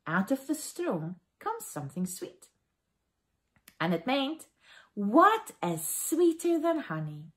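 A woman in her forties with a South African accent, Afrikaans her first language, telling a Bible story to children for a family service from her living room. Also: mid-range voice. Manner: animated storytelling to children, asking questions. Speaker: minister.

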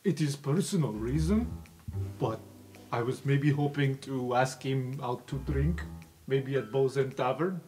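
A man's voice: medium pitch, darkish tone, faint music behind, natural voice. Man doing a flustered, stammering elephant-folk warrior. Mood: awkward, earnest. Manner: halting, stammering, blurts names out. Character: gruff, flustered, lovestruck male elephant-folk warrior student.

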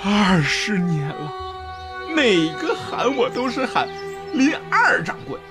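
An actor playing a whiny, boastful second-in-command shopkeeper in a comic radio play, with melodramatic, drawn-out wailing. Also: male voice, high-pitched, sing-song, full character voice. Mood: moved, weeping. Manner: melodramatic, sing-song wailing with drawn-out words. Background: music behind the voice.

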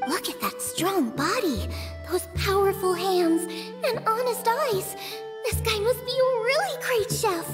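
Squeaky, bubbly little fairy girl. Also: expressive, unhurried. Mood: excited. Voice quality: squeaky, childlike. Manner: bubbly, speaks of self in third person. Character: tiny floating fairy girl companion, cheeky, excitable, childlike. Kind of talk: video game voice acting. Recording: game music behind the voice.

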